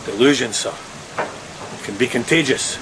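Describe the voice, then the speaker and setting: An actor playing an educated, respectful first mate in a gothic audio drama, measured and explanatory in manner. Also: male voice, medium pitch, close to an everyday voice. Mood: grave explaining. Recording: loud steady rain noise. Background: rain.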